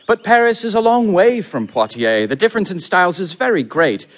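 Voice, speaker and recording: Young gentleman suitor for verse comedy: ardent, flowery, fairly high voice with sweeping intonation. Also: very animated, fairly quick. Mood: eager, candid. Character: eager young gentleman, a smooth-talking romantic. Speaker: actor. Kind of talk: theatrical verse comedy performance.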